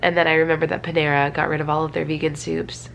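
Congested, creaky-voiced young woman vlogging. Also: sits low, level tone, fast, a bit bright. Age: 20s. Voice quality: stuffy, congested nose. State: congested from a cold. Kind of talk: casual chat to camera. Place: small bedroom indoors. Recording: faint background room noise.

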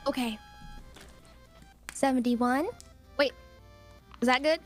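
A woman's voice: high-pitched, slow pace, soft background music. A woman chatting casually and delivering short voice lines as a performer.

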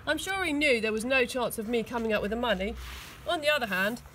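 An English woman reading a children's storybook aloud with expressive narration, recorded outdoors. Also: on the high side, fast talker, slight background noise, poor audio. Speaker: school teaching assistant reading aloud. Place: outdoors on grass.

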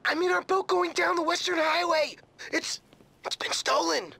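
An actor playing a spoiled young son in a video game, whining and stammering frantically. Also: male voice, very high-pitched, moderate pace, creaky, pushed and bright, heavily acted. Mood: panicked.

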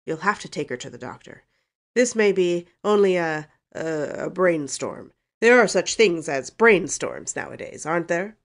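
A woman's voice: fairly low pitch, expressive, normal speed. Woman acting a kindly, nervous husband. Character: kindly, nervous, hesitant middle-aged husband.

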